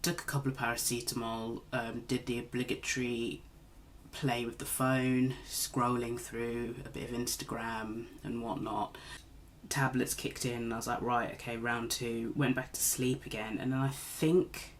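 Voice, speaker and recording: A woman's voice: very deep voice, little pitch movement, normal speed, slight background noise. Slightly nasal woman, chatty vlog. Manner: rambling, chatty, self-deprecating. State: recovering from a cold. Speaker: beauty vlogger. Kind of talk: casual makeup tutorial chat. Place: home, at a makeup desk.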